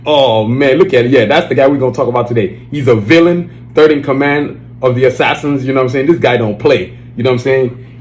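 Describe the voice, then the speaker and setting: A man with a Nigerian accent hosting his own podcast, talking loosely and excitedly with lots of slang fillers. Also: mid-range voice. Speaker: podcast host. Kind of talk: casual solo podcast chat. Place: Dallas, Texas area.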